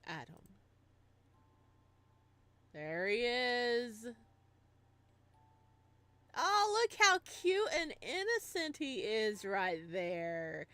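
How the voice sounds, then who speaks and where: A woman gaming streamer reading a visual novel aloud, with expressive narration and playful, casual asides. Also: on the high side, very slow, pauses often, no room sound.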